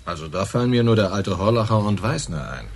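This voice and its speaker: A man playing a wry, knowing small-town police officer in a radio crime drama, talking in a measured, insinuating way. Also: lowish voice, expressive, a little hiss or hum.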